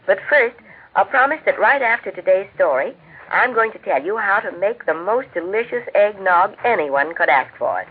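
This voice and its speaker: A woman's voice: medium pitch, expressive, normal speed, slightly rough. A woman hosting a 1940s radio program, narrating from a script in a polished, cheerful manner. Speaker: radio host and story narrator. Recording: tinny, muffled 1940s radio recording.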